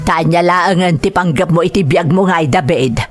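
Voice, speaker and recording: A woman's voice: fairly low pitch, normal speed, close to an everyday voice. A woman voicing a nagging, scolding mother who insists her grown son go work abroad, in an audio drama. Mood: scolding, insistent.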